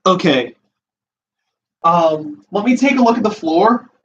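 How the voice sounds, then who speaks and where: A man in his twenties from the USA, hosting a livestream from a small room at home, exclaiming and swearing with halting restarts. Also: high voice, animated, slightly rough. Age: 20s. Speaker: podcast host livestreaming. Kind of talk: excited casual livestream chat. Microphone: laptop and handheld phone. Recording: dull lo-fi stream audio.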